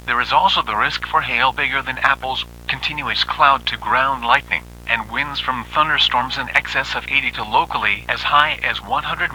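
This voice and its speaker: A man's voice: medium pitch, sharp tone, a little hiss or hum, low-quality recording. A man reading a scripted weather bulletin in a formal, impersonal way, as a weather radio announcer.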